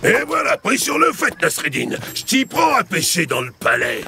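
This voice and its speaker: A man's voice: high voice, huge pitch swings, moderate pace, vocal fry, background noise, heavily acted. A man voicing a blustering, boastful townsman in a French children's cartoon, full of exaggerated indignation. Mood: accusing, outraged.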